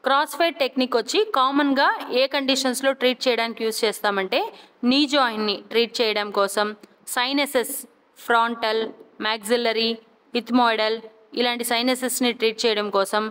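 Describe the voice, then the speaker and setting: A woman with a Telugu accent giving a scripted educational narration as a lecturer, deliberately repeating key terms and carefully enunciating English phrases. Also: a little high, steady tone, a bit bright, clear, resonant tone. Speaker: physiotherapy lecturer. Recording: long reverberant echo.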